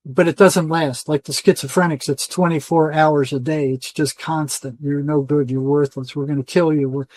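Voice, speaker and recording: A man's voice: a little high, darkish tone, dry recording. An older man talking as an interview guest in an insistent, emphatic way, repeating phrases for stress. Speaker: interview guest, retired psychotherapist. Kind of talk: conversational interview monologue.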